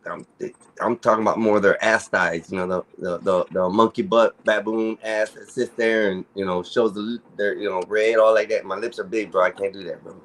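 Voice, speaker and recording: A man with a deep voice who grew up speaking Spanish and English, chatting casually as a stream guest in a mumbling, self-deprecating way. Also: steady tone, moderate pace, few pauses, fairly bright tone, a little hiss or hum. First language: bilingual Spanish and English. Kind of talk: casual livestream chat.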